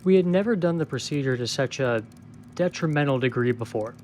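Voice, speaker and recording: A man playing a guarded, dutiful scientist in an audio drama, giving formal, restrained and measured answers to a superior. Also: medium pitch, animated, darkish tone, close to an everyday voice. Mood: defensive, controlled. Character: guarded, dutiful male scientist subordinate under questioning. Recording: noticeable background hum and noise.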